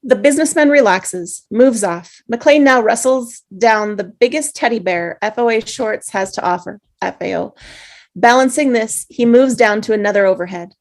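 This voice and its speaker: A woman narrating the stage directions in a table read, with steady, measured script reading. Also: fairly high pitch. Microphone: video call.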